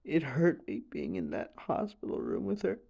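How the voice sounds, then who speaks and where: A man playing a distraught, grieving young man in an audio roleplay confession, stammering and trailing off as he haltingly tells an unbelievable story. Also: medium pitch, huge pitch swings, vocal fry, dark tone, lo-fi sound, heavily acted. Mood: grieving, anguished.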